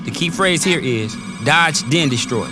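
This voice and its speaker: Wisecracking briefing officer, slangy, joking, loud music under him. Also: male voice, fairly high pitch, animated, unhurried. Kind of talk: video game cutscene briefing.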